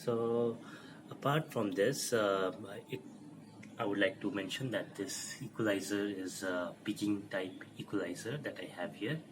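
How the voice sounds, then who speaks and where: Low-voiced man explaining haltingly. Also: background noise. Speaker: presenter of an electronics demo. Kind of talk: informal technical walkthrough. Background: music playing from the demo.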